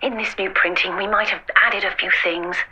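Over a slightly dull phone line, a scheming, upbeat publicist. Her voice is bright and forceful with a husky edge. She is pushy, in a comedy audio drama style. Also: medium pitch, steady tone, normal speed. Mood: teasing. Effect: phone call filter. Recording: slightly dull telephone sound.

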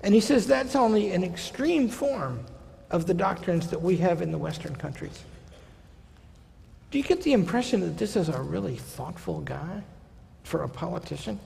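A man in his sixties, a college president, gives a seminar lecture from a podium, conversational with wry asides and slowing for quotations. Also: sits high, lively intonation, vocal fry, darkish tone. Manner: conversational, wry asides, slows down for quoted passages. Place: large lecture hall stage at a podium. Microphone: podium microphone. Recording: hall reverb.

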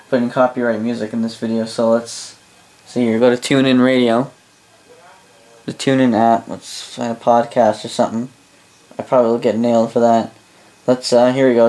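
A Canadian man casually demonstrating a gadget as a reviewer, halting, rambling and correcting himself as he goes. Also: medium pitch, darkish tone, low-quality recording. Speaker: product reviewer. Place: small indoor room at a desk.

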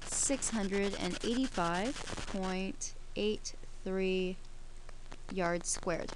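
A woman's voice: mid-range voice, drawn-out words, a little hiss or hum, low-quality recording. A woman teaching a math lesson over a screen recording, explaining each step while she works the problem.

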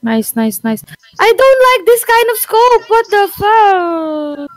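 High-voiced woman gaming. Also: takes it slowly, no echo, rough recording. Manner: excitable, laughing. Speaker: gamer playing a mobile shooter. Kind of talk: casual gameplay chat. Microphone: phone mic. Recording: dry close sound with game music behind.

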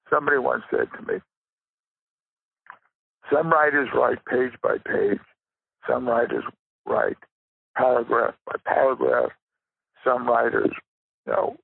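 An older man giving a reflective interview as a guest, with a gravelly, husky voice and deliberate, halting phrasing. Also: mid-range voice, no room sound. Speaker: interview guest, writer and rare book dealer. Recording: thin phone-like line.

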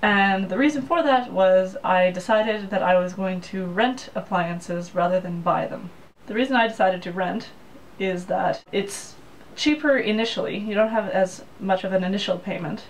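A woman's voice: medium pitch, moderate pace, distant mic, slight background noise, poor audio. A young woman talking to camera in a small apartment room, explaining things in a plain, practical way. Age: young adult. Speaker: vlogger. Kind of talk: casual talk to camera. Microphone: camera mic, head-and-shoulders framing.

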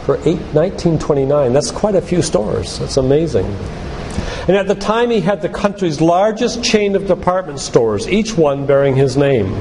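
A man's voice: a little high, lively intonation, moderate pace. Older man preaching in a noisy echoey hall. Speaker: doctor and guest church speaker. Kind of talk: church sermon-lecture to a congregation. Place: church, live talk. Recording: echoey hall with loud background noise.

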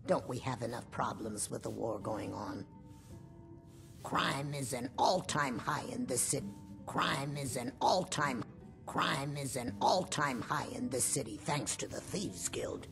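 An actress playing a grumbling, worried lizard-folk innkeeper in a fantasy game, with a husky voice. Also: female voice, very deep voice, measured pace, music in the background, exaggerated voice. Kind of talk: fantasy video game dialogue.

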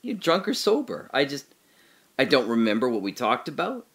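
An actor playing a confused amnesiac man trapped alone in a hole, rambling and swinging between giddy laughter and hushed muttering. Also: medium pitch, sing-song, normal speed, slightly rough, exaggerated voice. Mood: wistful. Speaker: audio drama lead actor. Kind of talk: solo audio drama monologue.

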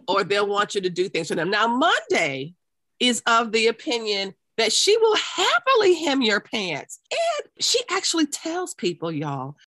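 A woman's voice: high-pitched, bright, forceful tone, no echo. A woman hosting a sewing podcast interview, playful and exclamatory. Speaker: podcast host.

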